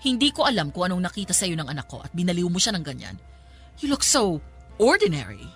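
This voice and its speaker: A wealthy, two-faced Filipino matriarch in a radio drama. Her voice sits fairly low and swings widely in pitch. She is haughty and theatrical, dropping English phrases into her talk, with faint music underneath. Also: sing-song, fast talker. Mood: cold contempt. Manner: gushing sweetness switching to cutting contempt, English mixed in.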